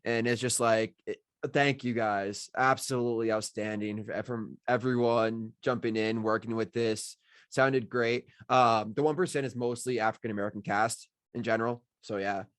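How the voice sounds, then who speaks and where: A man talks casually in a group discussion as a writer. His voice sits at a middle pitch and sounds dry and close. He rambles along, effusive and appreciative. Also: fairly even intonation, normal speed, a bit bright, no echo. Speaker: writer receiving feedback on his script.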